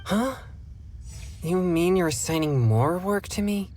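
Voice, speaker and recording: A man's voice: high-pitched, expressive, unhurried, darkish tone, a little hiss or hum. A man voicing an earnest, put-upon young exorcist in a game cutscene, grumbling and reluctant.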